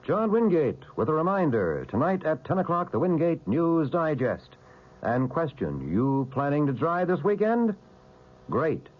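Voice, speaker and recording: Punchy man promoting a radio newscast. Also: fairly high pitch. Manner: brisk, punchy, playful questions. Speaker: radio news anchor. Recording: dull lo-fi old radio broadcast.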